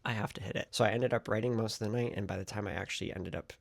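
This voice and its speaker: A man talking to the camera in a casual diary-style writing vlog, chatty and excitable, with soft whispery asides. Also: on the low side, rapid-fire, creaky. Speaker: writing vlogger.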